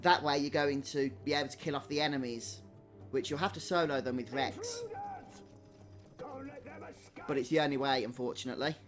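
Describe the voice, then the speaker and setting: A man's voice: medium pitch, big pitch swings, moderate pace, pauses often, fairly bright tone. A man giving casual live game commentary and chatting to viewers as a streamer. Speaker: gaming streamer. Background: game music.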